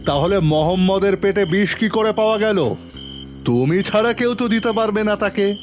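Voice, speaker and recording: A man's voice: high voice, lively intonation, moderate pace. A man narrating a detective story dramatically in the first person, turning stern when he questions as the policeman. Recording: long reverb, faint music behind.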